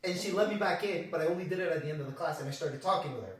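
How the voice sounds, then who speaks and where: A man's voice: on the high side, racing. A young man from Mexico telling a story in casual podcast chat, animatedly acting out both sides of arguments. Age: young adult. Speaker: podcast host. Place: echoey indoor room. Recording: echoey room, distant from mic.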